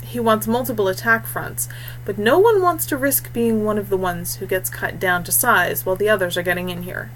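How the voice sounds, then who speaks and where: Woman as a calm, watchful young warrior. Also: mid-range voice, normal speed, slight background noise, natural voice, poor audio. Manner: calm, practical, wry.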